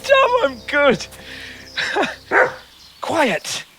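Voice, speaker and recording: A man's voice: very high voice, huge pitch swings, very slow, music in the background, exaggerated voice. An actor playing an arrogant, hot-tempered young nobleman in a video game, with a haughty, scornful, exclamatory manner. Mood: boastful, excited. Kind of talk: video game dramatic voice acting.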